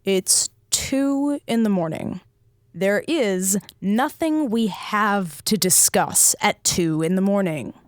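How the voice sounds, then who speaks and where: A woman's voice: medium pitch, animated, slow, no room sound, clean studio sound. An actress playing a wary, blunt space station captain in an audio drama, sardonic and clipped in her phrasing. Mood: curt, suspicious.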